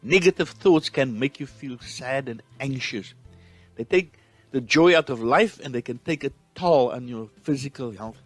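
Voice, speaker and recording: A man's voice: mid-range voice, lively intonation, moderate pace, dry recording, soft background music. A man with a South African accent hosting a motivational podcast, speaking in an earnest, encouraging way. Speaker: podcast host.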